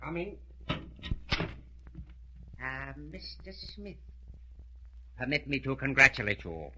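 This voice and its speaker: An actor playing a calm, cunning criminal mastermind doctor with formal, mocking courtesy in a 1940s radio drama. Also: male voice, mid-range voice, takes it slowly. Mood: coolly courteous. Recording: noisy old radio recording, clicks.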